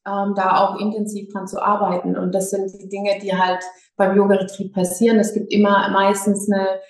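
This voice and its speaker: A yoga teacher from Lower Franconia, talking as a guest in a hesitant, slightly nervous way with many fillers. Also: female voice, monotone, normal speed. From Lower Franconia, Germany. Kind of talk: casual interview. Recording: echoey room.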